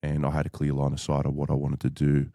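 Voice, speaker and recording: A man as a podcast guest, telling his story in a measured, thoughtful way with frequent fillers. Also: very deep voice, monotone, vocal fry, dark tone, dry recording, studio quality. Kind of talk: reflective conversational storytelling.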